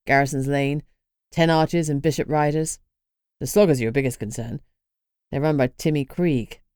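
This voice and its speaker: Woman doing a gruff male vampire, stern, very low and deep, dry close sound, storybook reading. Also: darkish tone, no echo.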